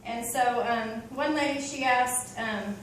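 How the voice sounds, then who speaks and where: A woman's voice: a little high, slow, heavy reverb, recorded from a distance, a little hiss or hum, low-quality recording. A woman from Oklahoma with an Oklahoma accent, talking in a chatty, open way with many ums and you-knows. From Oklahoma, USA. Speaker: convert giving a talk. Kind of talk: casual personal talk.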